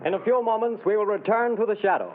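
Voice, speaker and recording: A male announcer with a high, bright voice reads a commercial earnestly and emphatically. The sound is narrow and tinny, like an old 1930s radio broadcast. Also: lively intonation, fast, over loud music. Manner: earnest, emphatic sales pitch. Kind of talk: commercial read.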